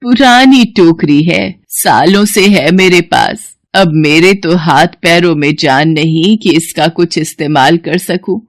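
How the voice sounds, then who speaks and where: A woman acts out an old village granny from a cartoon bedtime tale. She speaks in slow, stretched phrases, kindly and full of blessings. The pitch sits on the low side and the sound is dry. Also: no echo. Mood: kindly, advising. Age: older. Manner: slow, drawn-out, blessing-giving speech. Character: kindly old village granny with magical gifts, gently pleading.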